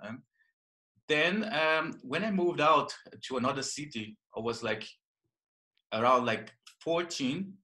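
A man from northern Brazil with a Brazilian accent, telling his story as an interview guest, halting with many fillers and restarts. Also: a little high, lively intonation, sharp tone, low-quality recording. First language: Portuguese. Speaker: guest, jiu-jitsu and yoga teacher. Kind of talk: casual interview.

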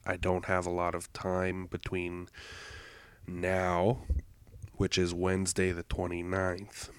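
A man hosting a podcast, congested from allergies, rambling in a halting way with many fillers and self-corrections. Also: low voice, completely flat delivery, clear, resonant tone, no room sound. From Canada. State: congested, stuffed-up nose. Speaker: podcast host. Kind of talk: rambling solo intro.

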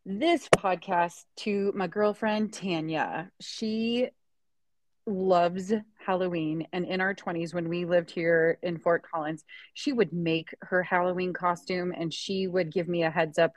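A woman's voice: fairly low pitch, fairly even intonation, unhurried, no room sound. Rambling enthusiastic woman co-host. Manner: rambling, enthusiastic, many restarts. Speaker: podcast co-host. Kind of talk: casual chat.

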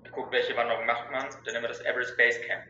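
Bright-voiced man on a dull call line. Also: medium pitch, fast. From Germany. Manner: relaxed, many fillers. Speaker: guest, travel blogger. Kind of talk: casual interview over a call. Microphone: call audio. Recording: dull echoey call audio with faint noise.

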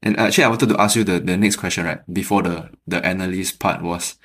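A man with a somewhat low voice hosts a podcast and asks his questions in an easygoing, chatty way. He often drops in "right" between his thoughts. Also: steady tone, quick pace, fairly bright tone, slightly rough. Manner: easygoing, frequent "right" fillers. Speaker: podcast host. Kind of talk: casual interview.

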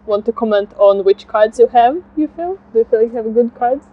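Husky-voiced encouraging woman interviewer. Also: a little high, a little fast, muffled recording. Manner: encouraging, brief affirmations. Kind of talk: vox pop interviewing. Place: outdoor fair in a park.

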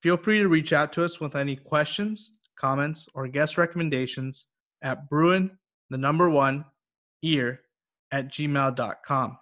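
A man's voice: a little high, unhurried, fairly bright tone. A man hosting a podcast, asking questions and signing off in a friendly, joking way. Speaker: podcast host.

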